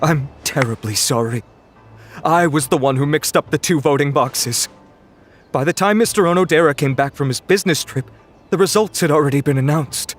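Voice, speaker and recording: A man's voice: fairly high pitch, normal speed, soft background music, natural voice. Man playing a deferential, lighter-voiced staffer. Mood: respectful. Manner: formal, respectful. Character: deferential male festival staff member. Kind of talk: anime game voice acting.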